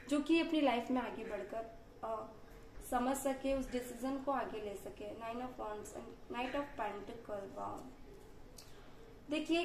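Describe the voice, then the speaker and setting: A woman with a slightly hoarse voice reads tarot cards for her viewers, talking chattily with frequent filler pauses. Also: a little high, darkish tone, echoey room, a little hiss or hum, poor audio. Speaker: tarot card reader. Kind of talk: hesitant tarot reading to viewers.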